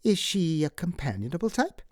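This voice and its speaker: Man putting on a prim, high-pitched inquisitive lady. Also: very animated, normal speed, no echo, clean studio sound. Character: persistent, inquisitive, proper lady. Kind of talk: audiobook character acting.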